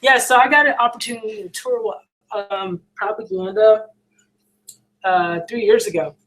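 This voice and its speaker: A woman's voice: medium pitch, moderate pace, fairly bright tone. An American woman with a slightly scratchy voice talks casually and earnestly to a livestream audience, encouraging them with informal, emphatic repetition. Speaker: musician hosting a livestream question and answer session. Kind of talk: casual encouraging chat, reading out viewer questions.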